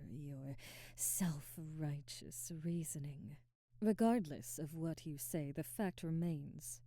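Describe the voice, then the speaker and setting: Lady acting as posh-mannered haughty vampire mistress, lowish voice, super dry close sound, cold and formal asmr roleplay. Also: dark tone, dry recording. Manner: formal, imperious, deliberate diction. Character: haughty aristocratic female vampire, commanding, coldly indignant. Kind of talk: scripted ASMR audio roleplay.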